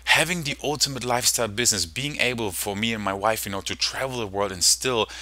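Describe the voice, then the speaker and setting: A man with a German accent giving a fast, pumped-up sales presentation as an online marketing coach. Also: fairly low pitch, pushed and bright, no room sound.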